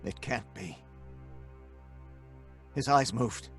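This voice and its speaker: An actor playing an obsessed young scientist in old-time radio horror style, earnest, dramatic and deliberate. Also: male voice, mid-range voice, over loud music. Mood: awed.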